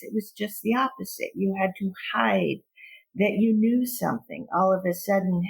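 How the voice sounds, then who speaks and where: An older woman from Portugal, a philosophy professor and interview guest, telling her life story in a measured, thoughtful way with small restarts. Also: mid-range voice, gravelly, breathy edge, low-quality recording.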